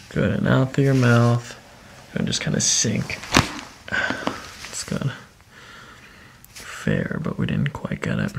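A man in middle age speaks with heavy vocal fry at an average male pitch. He guides a patient through treatment as a chiropractor, in brief, soothing prompts. A faint noise sits behind his voice in a small office. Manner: short, soothing step-by-step prompts. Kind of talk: hands-on instructions to a patient during treatment.

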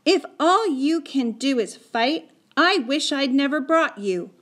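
Woman acting an urgent, high-voiced young boy. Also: sing-song, a little slow, very noisy. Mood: angry. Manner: urgent, insistent. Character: anxious, earnest young boy, protective and pleading. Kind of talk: acted audiobook dialogue.

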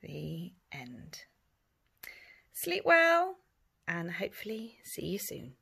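Expressive woman reading a bedtime story, bright voice. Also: lowish voice, slow pace, vocal fry, slightly rough. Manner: expressive, dramatic reading with hushed asides. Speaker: storyteller reading a picture book.

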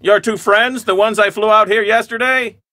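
A man's voice: high-pitched, fairly even intonation, normal speed, pushed and bright, no room sound. A man playing a folksy, talkative float plane pilot in an adventure game, speaking informally and with emphasis. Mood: chatty, ominous. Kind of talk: scripted adventure game dialogue.

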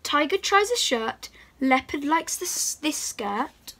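High, bright young girl. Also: slow pace, slight background noise. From England. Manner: excited, encouraging. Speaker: host of a children's read-aloud channel. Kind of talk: presenting to young children, reading a picture book aloud. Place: small room at home, indoors.

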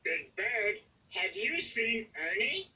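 A woman's voice: sing-song, takes it slowly, bright, forceful tone, slight background noise, heavily acted. A woman voicing a worried but forgiving male puppet friend from a storybook, earnest and caring. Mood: worried, calling. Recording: distant, dull low-fidelity home recording.

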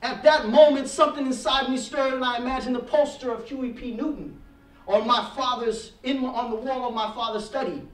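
A man's voice: very high-pitched, fairly quick, fairly bright tone. A man in his thirties telling his personal story to a classroom audience at a heritage month event, standing away from the podium mic. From Trinidad. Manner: reflective storytelling, gestures while speaking, rising intensity. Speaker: guest speaker. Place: classroom with projector screen. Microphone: podium mic, speaker standing away from it. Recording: echoey room from far off.

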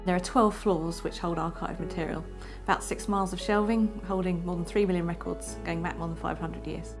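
A woman's voice: on the low side, fairly even intonation, brisk. A woman archivist explaining her work in a matter-of-fact documentary interview. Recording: clear music underneath.

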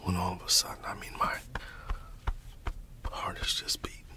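A man performing an ASMR roleplay as a laid-back, teasing flatmate, speaking in a hushed, casual, slangy way with repeated phrases. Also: moderate pace. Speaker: ASMR performer playing a roommate. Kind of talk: ASMR roleplay, whispered storytelling and one-sided chat.

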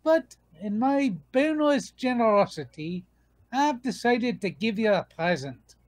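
A man's voice: very high-pitched, expressive, unhurried. Stingy old male duck man putting it on, grumbly, takes his time, cartoon. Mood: stern. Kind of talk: comic dub reading.

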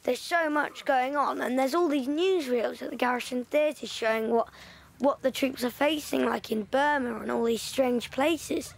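A woman's voice: high voice, normal speed, creaky, low-quality recording. An English actress playing a young girl upset about her family and her army father, in a radio play. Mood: frustrated.

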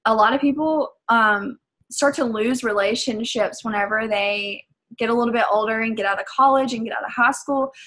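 Young Southern woman, a coach, chatty vlog delivery, a bit high-voiced. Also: moderate pace, fairly bright tone, slight background noise. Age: young adult. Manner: chatty, filler-heavy, earnest. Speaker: fitness business coach. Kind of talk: casual vlog talk to camera.

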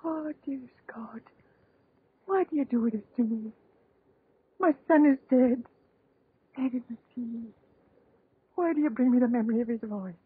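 Husky, frail old widow on tinny radio. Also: female voice, on the high side, measured pace, long pauses. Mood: anguished pleading. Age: older. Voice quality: husky, rough. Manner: plaintive, lamenting storyteller with pious exclamations. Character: frail, pious, grieving old widowed mother. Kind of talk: 1930s radio horror acting. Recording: tinny, band-limited 1930s radio recording.

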